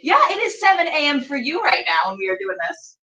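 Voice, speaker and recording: A woman hosting a show in a casual, exclamatory, excitable way. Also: extremely high pitch, a little fast. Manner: exclamatory, informal. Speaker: show host.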